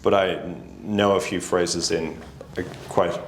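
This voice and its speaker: A middle-aged man from Norway, a native Norwegian speaker, answering interview questions thoughtfully with many pauses. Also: low voice, fairly even intonation, slight background noise. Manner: thoughtful, hesitant, many ums. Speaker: guest, company founder. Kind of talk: casual interview. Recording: echoey room.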